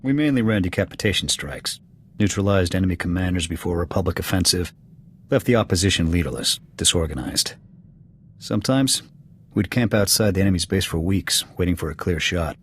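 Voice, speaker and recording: An actor playing a gruff, proud alien military officer in a video game, with an American accent and a blunt, clipped military delivery. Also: male voice, low voice, lively intonation, a little fast, a little hiss or hum. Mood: matter-of-fact, resolute. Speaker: soldier serving as second to his commander. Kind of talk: video game dialogue acting. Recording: background music under the dialogue.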